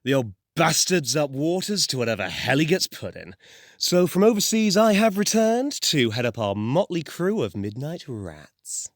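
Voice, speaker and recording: Cocky, wildly animated young gangster played by a man. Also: a little high, normal speed, no room sound, studio quality. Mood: gleeful, vicious. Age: young adult. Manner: brash, sarcastic, taunting. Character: cocky young male mafia heir, mocking and loudmouthed. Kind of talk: audio drama acting.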